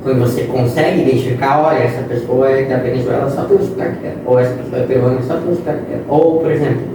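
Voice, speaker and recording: A man's voice: medium pitch, fairly even intonation, rapid-fire. Young man explaining, echoey distant sound. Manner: explains with examples and quoted phrases. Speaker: guest. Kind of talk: casual interview talk. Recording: echoey room, distant mic, noisy.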